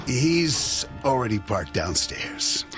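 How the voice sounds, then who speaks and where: An actor playing a smooth, scheming husband who is glib, coaxing and sardonic, in a radio drama. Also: male voice, mid-range voice, very animated, slow, over music. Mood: sardonic, confiding.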